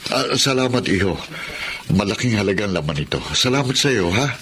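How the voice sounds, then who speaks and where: A man's voice: fairly low pitch, lively intonation, a little fast, fairly bright tone, a little hiss or hum. A man acting a wealthy middle-aged businessman with an avuncular, insistent manner in a Filipino radio drama. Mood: surprised, grateful. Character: wealthy, grateful, hurried older businessman.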